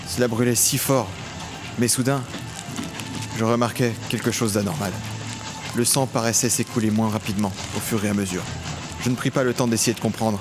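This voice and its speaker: A man's voice: mid-range voice, lightly acted. An actor playing a tormented criminal who tells his own story in a solemn, literary way in an audio drama. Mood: grave, tormented. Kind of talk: scripted audio drama narration and dialogue.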